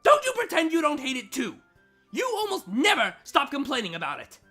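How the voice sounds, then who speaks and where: A woman's voice: high voice, wildly swooping pitch, brisk, bright, forceful tone, exaggerated voice. A woman playing a furious, menacing young female villain in a dramatized fan fiction reading, with indignant, emphatic outbursts. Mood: exasperated. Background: background music.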